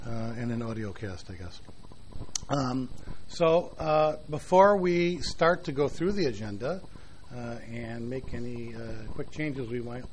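A man chairs a conference meeting, talking in a loose, relaxed way full of "uh"s and small restarts. His voice sits at a middling pitch, with a faint hiss under it. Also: low-quality recording. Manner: relaxed, filler-heavy speech with many "uh"s.

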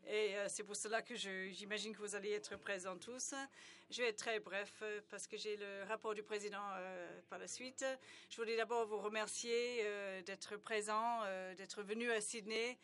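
Halting woman conference interpreter. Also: mid-range voice, steady tone, a bit bright. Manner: halting, many hesitations and restarts. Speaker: simultaneous interpreter.

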